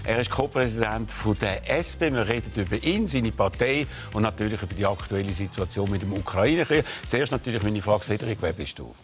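A man's voice: fairly low pitch, lively intonation, soft background music. An older man with a Swiss German accent hosting a TV talk show, asking pointed, provocative questions in a studio. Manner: provocative, challenging, quick follow-ups. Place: dark TV studio, seated at table. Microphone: lapel mic.

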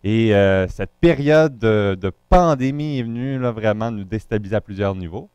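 A man's voice: on the low side, normal speed, a little mellow, clear, resonant tone, dry recording. A man from Montreal with a Quebec French accent, introducing himself to a congregation as a guest pastor, talking loosely with many fillers and restarts.

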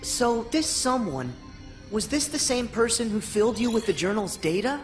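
A woman's voice: a little high, very animated, normal speed, soft background music. A woman playing a plucky, optimistic, loyal young boy hero in a video game, with an eager and earnest delivery. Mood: concerned, curious. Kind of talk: video game cutscene voice acting.

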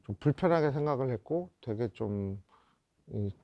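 A man in his fifties from South Korea speaks as a film director in an oral-history interview. His voice sits at a medium pitch. He reminisces reflectively and haltingly, searching for words, and the recording is dry with little room sound. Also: lively intonation, no echo, highs cut off. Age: 50s. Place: indoor interview set before a photo backdrop.

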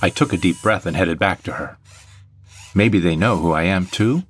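A man's voice: sits low, moderate pace. A man narrating a scripted sci-fi audio drama in the first person. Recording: faint music behind the voice.

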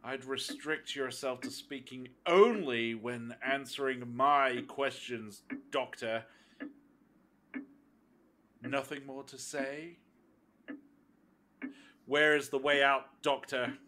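An actor playing a cold, menacing captor in an audio drama, taunting and deliberate in a theatrical way. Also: male voice, medium pitch, fairly even intonation, pushed and bright, a little hiss or hum. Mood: threatening. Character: menacing cold male captor interrogating a prisoner. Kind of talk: fan audio drama acting.

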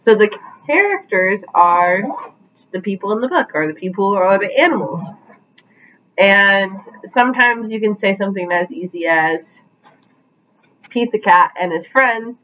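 A woman's voice: mid-range voice, narrow, tinny audio, slight background noise. A young adult woman reading a picture book aloud to children as a teacher, in a living room at home.

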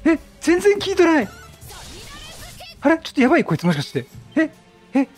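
High-pitched, animated male game streamer. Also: fairly quick. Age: middle-aged. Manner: chatty, reactive, repeats phrases. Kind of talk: excitable Let's Play commentary. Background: game music.

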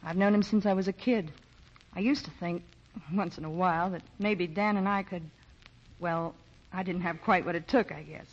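An actress playing a worldly, hard-boiled con artist, secretly lovelorn, in the style of 1940s radio drama. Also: female voice, fairly low pitch, moderate pace, husky. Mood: wistful, stoic. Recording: muffled 1940s radio broadcast.

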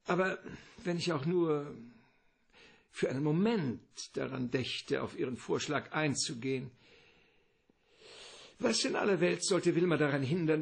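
A man playing a genial, scheming older crime boss in a German radio play, courteous and wordy, with a husky, creaky voice. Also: on the high side, exaggerated voice. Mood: amused, flattering. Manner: avuncular, unctuous, deliberate hesitations.

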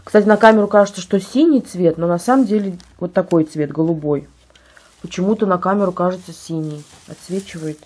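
A woman vlogger with a slightly hoarse voice from a cold, chatting casually at home and describing items she holds up for a phone camera. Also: lowish voice, a little fast, darkish tone. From Russia. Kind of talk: casual show-and-tell vlog. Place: at home, indoors.

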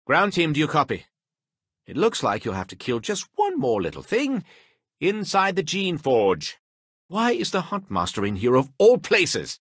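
Husky, animated male mission commander. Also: fairly high pitch, a little slow, no echo, clean studio sound, full character voice. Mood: exasperated. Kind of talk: dramatic video game dialogue.

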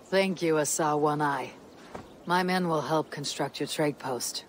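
A woman playing a blunt, steady Viking warrior in a video game, with a low, husky voice and terse, self-assured delivery. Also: a little slow. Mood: direct. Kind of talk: video game cutscene acting. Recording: outdoor ambience noise behind the voice.

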